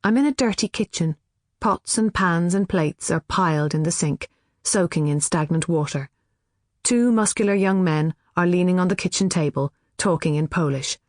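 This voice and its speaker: An Irish woman reading scripted fiction as an audiobook narrator, with an expressive storytelling delivery. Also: lowish voice, normal speed, no room sound, clean studio sound.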